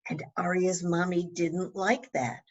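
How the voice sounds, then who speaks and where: A woman with a husky voice reading a children's story aloud with dramatic emphasis and little asides to young listeners. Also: lowish voice, fairly even intonation, unhurried, dull audio.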